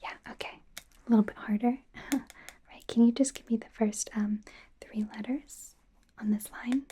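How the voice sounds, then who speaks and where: A woman voicing a bumbling, eager werewolf doctor in training in a soft breathy whisper, stammering through an ASMR exam roleplay. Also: on the high side, fairly even intonation, normal speed, lightly acted. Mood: gentle, encouraging. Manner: hesitant, stammering, softly flustered. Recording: faint background noise, close-up whispering.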